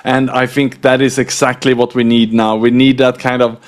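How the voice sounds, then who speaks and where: Polite, measured man hosting a podcast. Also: mid-range voice, little pitch movement. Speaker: podcast host. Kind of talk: interview hosting.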